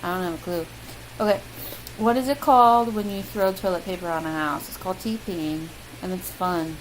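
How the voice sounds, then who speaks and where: A woman's voice: lowish voice, normal speed, rough recording. A young woman with a Midwestern American accent, chatting casually through a word list with lots of ums and self-deprecating asides. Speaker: vlogger. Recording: loud steady hiss.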